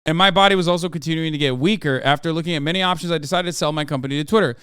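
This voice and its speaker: A man's voice: fairly high pitch, rapid-fire, fairly bright tone, clear, resonant tone, dry recording, clean studio sound, close to an everyday voice. A man voicing a frank, wry Icelandic entrepreneur with a put-on Icelandic accent, reading a written statement aloud.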